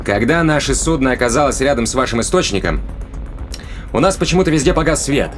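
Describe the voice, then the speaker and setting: Man playing a blunt interrogator, animated delivery. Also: mid-range voice, fast, soft background music, close to an everyday voice. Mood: insistent, puzzled. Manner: probing, demanding, colloquial. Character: blunt, impatient human male interrogator on a ship. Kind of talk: audio drama acting.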